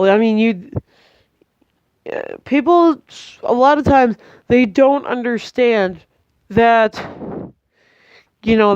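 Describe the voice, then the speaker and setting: A woman's voice: on the high side, clean tone, dry recording. Young woman, congested and tired, hesitant chatty vlogger, dry muffled home mic. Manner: hesitant, many ums and restarts, chatty. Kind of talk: casual rambling update to camera.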